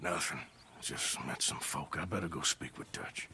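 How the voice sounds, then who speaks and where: An actor playing a gruff, weary, protective American outlaw in a video game, with a dry, sardonic drawl. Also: male voice, extremely low pitch, moderate pace, bright, forceful tone, full character voice. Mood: subdued, gentle. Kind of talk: video game cutscene acting.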